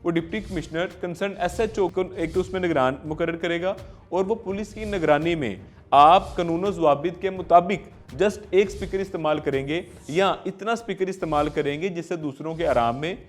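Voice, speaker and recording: A man's voice: fairly high pitch, moderate pace. A man with a Pakistani accent presenting an explainer to viewers as a legal host, speaking formally and emphatically with rhetorical turns.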